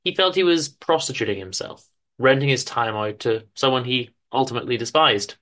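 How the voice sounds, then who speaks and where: A man giving a dramatic reading of a short story, in a dry, measured, formal manner. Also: medium pitch, a bit bright. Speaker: author-narrator reading his own story on a podcast.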